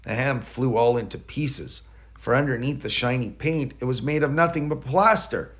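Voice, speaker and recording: A man narrating a children's bedtime story in an expressive way, leaning dramatically on key words. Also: medium pitch, normal speed. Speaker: storybook reader.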